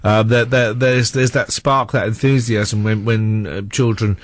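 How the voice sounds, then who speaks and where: A man's voice: lowish voice, fairly even intonation, measured pace, no echo. A British man presenting a chatty radio interview, often repeating words as he gropes toward his questions. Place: radio studio.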